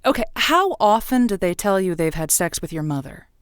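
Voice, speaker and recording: A woman playing a radio advice host, playful and teasing, who rambles and second-guesses herself. Her voice sits at an ordinary middle pitch, recorded close and dry in a studio. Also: big pitch swings, normal speed, smooth, no room sound, natural voice. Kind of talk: scripted audio drama.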